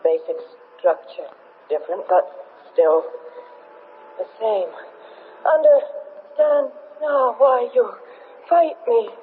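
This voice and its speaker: Very slow, halting alien creature. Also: male voice, very high voice, expressive, heavily acted. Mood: labored, urgent. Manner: halting, broken clipped phrases. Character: dying alien creature, halting, pleading, determined to survive. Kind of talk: 1970s radio drama acting. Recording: muffled, noisy vintage radio recording.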